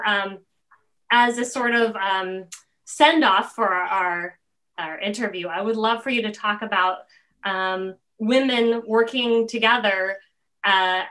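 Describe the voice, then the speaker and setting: A middle-aged woman hosting a casual interview over video call, thinking aloud in a halting way. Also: mid-range voice, bright, forceful tone. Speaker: interviewer and host.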